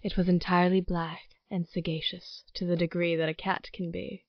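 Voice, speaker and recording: A woman playing an ominous storyteller, narrating a Gothic horror tale in a measured, theatrical, sinister way. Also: lowish voice, little pitch movement, no echo, close to an everyday voice. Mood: storytelling. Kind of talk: scripted dramatic narration. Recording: dull recording with music underneath.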